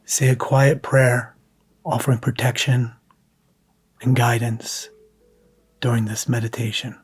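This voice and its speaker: Slow, husky male meditation guide. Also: mid-range voice, level tone, soft background music. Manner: soft, hushed, breathy delivery with long pauses.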